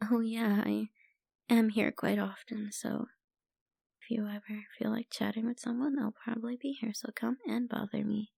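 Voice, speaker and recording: A woman playing a troubled young painter in an intimate ASMR roleplay, speaking softly and haltingly with sighs and trailing phrases. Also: a little high, little pitch movement, creaky, husky, no echo, studio quality, heavily acted. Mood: grateful, gentle. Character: troubled young woman painter, a stranger venting family worries.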